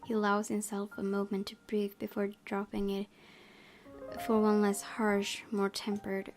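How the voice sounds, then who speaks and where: A woman's voice: mid-range voice, completely flat delivery, measured pace. A woman gaming host reading a visual novel aloud as a narrator. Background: game music.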